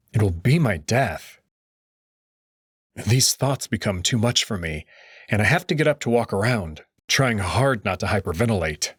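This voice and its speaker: A man's voice: on the low side, slightly rough, no room sound, clean studio sound. A man narrating a visual novel in the first person, reading it expressively and dramatically.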